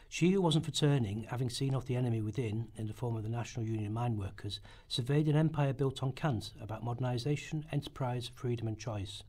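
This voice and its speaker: A man's voice: medium pitch, darkish tone. A man reading a script aloud as a narrator in rehearsal, now and then stumbling over his words. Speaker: radio play narrator in rehearsal.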